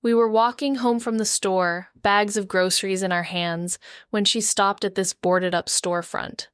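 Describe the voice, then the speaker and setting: A woman's voice: mid-range voice, fairly even intonation, moderate pace, clear, resonant tone, no room sound, studio quality, natural voice. A woman playing a shaken, sardonic young woman in an intimate first-person audio drama monologue, wry, introspective and profane. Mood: distant, focusing. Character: shaken, wounded, sardonic young woman, med-school dropout.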